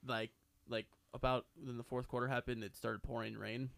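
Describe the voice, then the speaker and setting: A man co-hosting a casual chat, his voice hoarse and a little sore from yelling. He rambles excitably, with lots of fillers. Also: mid-range voice, moderate pace. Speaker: podcast co-host.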